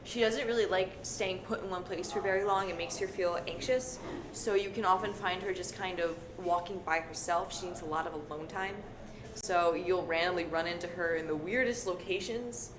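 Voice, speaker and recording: A woman's voice: on the low side, moderate pace. A woman chatting casually as an actress answering fan questions in a group Q&A. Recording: echoey room, clear background noise.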